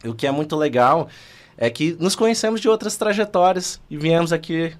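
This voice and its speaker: A man's voice: on the high side, animated, moderate pace. A Brazilian man in his thirties hosting a podcast interview, friendly and promotional, often adding "né?" to his remarks. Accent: Brazilian Portuguese. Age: 30s. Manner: friendly, promotional, with frequent "né?" tags. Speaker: podcast host.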